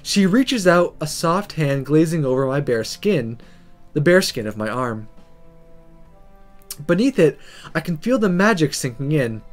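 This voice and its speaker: A man hosting a let's play, reading a visual novel aloud dramatically and commenting on it in an expressive, theatrical way. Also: on the high side, unhurried, long pauses, faint music behind.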